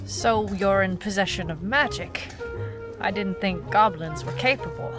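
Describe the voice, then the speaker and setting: An actress playing a terse, suspicious female private eye with no memory, deadpan in a noir audio drama. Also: medium pitch, measured pace. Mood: weary, bitter. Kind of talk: noir detective audio drama, narration and dialogue. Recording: music playing behind the voice. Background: music.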